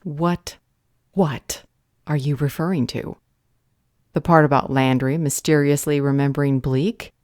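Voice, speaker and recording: A woman playing a defiant, possessive, sharp-tongued vampire healer in an audio drama, speaking in a cutting, deliberate way. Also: low voice, no room sound, studio quality. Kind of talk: dramatic audio roleplay acting.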